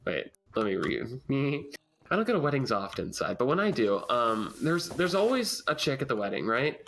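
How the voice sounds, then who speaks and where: A man's voice: mid-range voice, sing-song, normal speed, a bit bright, slight background noise. A young man chatting casually on a game stream, telling a joking story at his computer mic. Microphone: desk mic at a computer.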